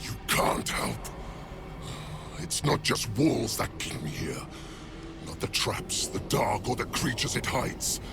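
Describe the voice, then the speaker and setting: A man's voice: mid-range voice, very animated, takes it slowly. An actor playing a proud, bitter devil in a video game, theatrical and snarling, savoring his words. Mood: bitter, brooding. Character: menacing male devil bound by a cruel contract, proud and bitter. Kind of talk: video game character acting. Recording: music behind the voice.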